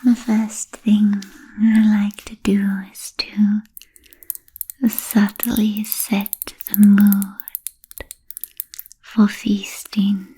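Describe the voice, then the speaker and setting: A woman voicing a genteel, ancient vampire in a slow, formal, breathy whisper for an ASMR roleplay. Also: mid-range voice, monotone, warm tone, exaggerated voice, rough recording. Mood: gentle, musing. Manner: slow, halting, formal and deliberate phrasing. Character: genteel ancient vampire, languid, soothing, politely predatory. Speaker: ASMR performer.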